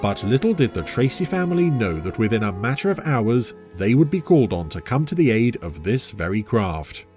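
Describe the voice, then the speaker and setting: A man's voice: medium pitch, very animated, a little fast, hardly any pauses, darkish tone, faint music behind. A man narrating a scripted adventure story in a dramatic storytelling style.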